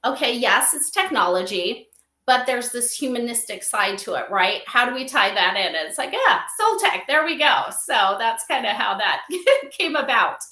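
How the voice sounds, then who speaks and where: A woman with a very bright, somewhat high voice talks as an interview guest. She explains informally and with animation, slipping into little example exchanges. The sound is clearly roomy and echoing. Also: big pitch swings, moderate pace. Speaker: interview guest, company advisor on AI memorial companions. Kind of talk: casual livestream interview, explaining.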